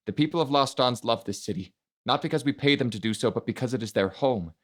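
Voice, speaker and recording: A man voicing a bold, passionate young prince in an audiobook, making deliberate, commanding speeches. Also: medium pitch, animated, fairly bright tone. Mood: impassioned. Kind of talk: dramatic audiobook character reading.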